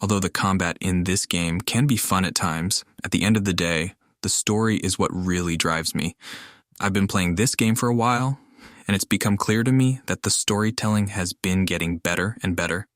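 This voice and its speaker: A man's voice: deep voice, normal speed, fairly bright tone, dry recording, clean studio sound. A man, a gaming streamer, giving reflective personal commentary on a game he plays.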